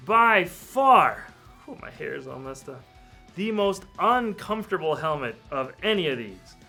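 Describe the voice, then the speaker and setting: A slightly high-voiced man chats through a casual hands-on review. He is candid and a little grumbly about what bothers him. Faint music plays behind him. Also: very animated, measured pace, gravelly, pushed and bright. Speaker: toy reviewer. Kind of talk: casual unboxing and review talk.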